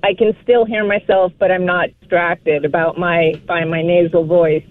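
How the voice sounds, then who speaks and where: A caller on a radio show, self-conscious and quick to laugh. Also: medium pitch, level tone, slight background noise. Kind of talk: phone call to a radio show. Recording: tinny phone line.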